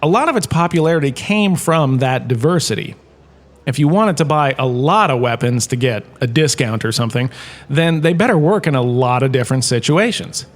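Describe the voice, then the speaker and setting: A man's voice: medium pitch, lively intonation, fairly quick, close to an everyday voice. A man playing a cheerful, oblivious former screen star turned professor, rambling theatrically through a comedic audio drama lecture. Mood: enthusiastic. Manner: rambling, chatty, theatrical enthusiasm.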